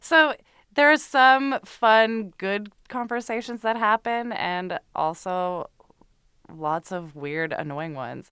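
A woman's voice: medium pitch, takes it slowly, a bit bright, clear, resonant tone, dry recording, clean studio sound. A woman chatting casually as an interview guest, informal, candid and wry. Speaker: podcast co-host being interviewed. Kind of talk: casual chat.

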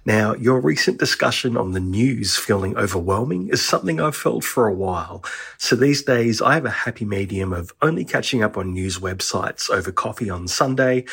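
A man's voice: on the low side, animated, normal speed, vocal fry, fairly bright tone, breathy edge. A man with a Texan accent, from Texas, leaving a friendly recorded message for a podcast. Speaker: listener caller.

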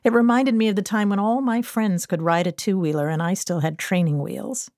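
A woman's voice: mid-range voice, steady tone, fairly quick, a little mellow, dry recording, studio quality, lightly acted. A woman voicing a child who loved the story, talking eagerly.

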